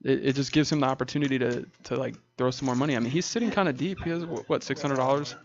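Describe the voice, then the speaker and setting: A man's voice: medium pitch, quick pace. A man casually commentating a live poker stream with a co-host, laughing often and rambling a little. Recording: dull stream audio with faint hiss.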